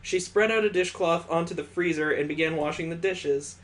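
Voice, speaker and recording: A man's voice: fairly high pitch, moderate pace, a bit bright. A man hosting a let's play, reading a visual novel aloud expressively with sarcastic asides.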